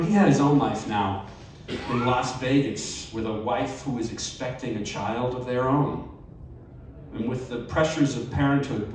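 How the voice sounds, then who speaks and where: A man reading a personal essay aloud at a live event, measured and expressive with wry emphasis. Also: mid-range voice, slight background noise. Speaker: story reader. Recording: very echoey live room, distant mic.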